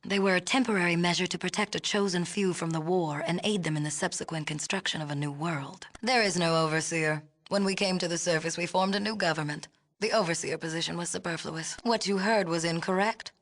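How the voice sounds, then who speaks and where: A woman's voice: lowish voice, brisk, lightly acted. A woman playing a haughty, imperious leader of a city in a video game, speaking formally and precisely with a condescending manner. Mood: formal, lecturing. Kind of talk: video game character dialogue.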